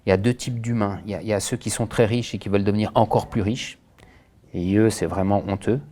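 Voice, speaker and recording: A man's voice: fairly low pitch, fairly even intonation, quick pace, dark tone. A man born in France and raised in Senegal, answering interview questions earnestly, with many hesitations and restarts. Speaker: director of a Senegalese association.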